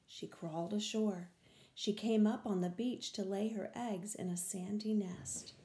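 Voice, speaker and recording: Older woman reading slowly to children. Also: medium pitch, mellow, echoey room, a little hiss or hum. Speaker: read-aloud storyteller. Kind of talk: children's picture-book reading. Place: small room at home, indoors.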